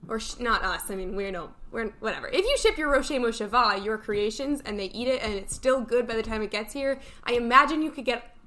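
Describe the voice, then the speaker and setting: A woman with a high voice hosts a casual morning show. She reads out announcements in an upbeat way, with a playful, chatty touch. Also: a little fast, sharp tone. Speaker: camp morning show host.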